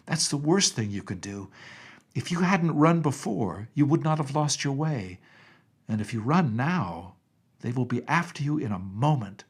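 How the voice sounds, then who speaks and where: A plucky young miner boy from a storybook, played by a male narrator, confident and matter-of-fact. He has a teasing streak and a slightly husky voice. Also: mid-range voice, animated, measured pace, pitched above the performer's own voice. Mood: urgent.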